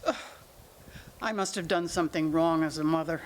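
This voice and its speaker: A woman's voice: low voice, normal speed, lightly acted. A woman acting a worried, exasperated mother of grown children in a staged play reading, insistent and reproachful. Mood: weary, reproachful.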